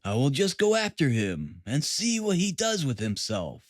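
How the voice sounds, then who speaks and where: Audiobook role: bold young hothead, read by a man narrator; defiant, protective, animated, close dry sound. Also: medium pitch, normal speed, dry recording. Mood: triumphant. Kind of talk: audiobook character reading.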